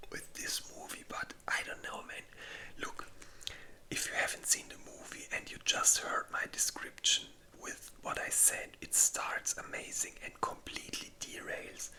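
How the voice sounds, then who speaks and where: An ASMR creator whispering in a breathy, unvoiced voice, chatting informally and thinking aloud with some hesitation. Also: very high-pitched, bright, forceful tone, low-quality recording. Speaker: ASMR video creator and host. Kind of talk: whispered ASMR, casual rambling chat.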